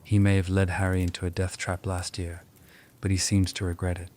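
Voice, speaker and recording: A man playing a calm, fair-minded wizard mediator in an audio drama, measured and reasonable. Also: sits low, a little hiss or hum, natural voice. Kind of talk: fan audio drama acting.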